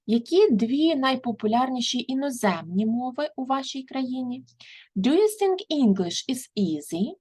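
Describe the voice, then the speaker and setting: Husky woman teaching English. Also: high voice, big pitch swings, normal speed, darkish tone. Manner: reads each English word, then its translation. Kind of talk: bilingual lesson explanation.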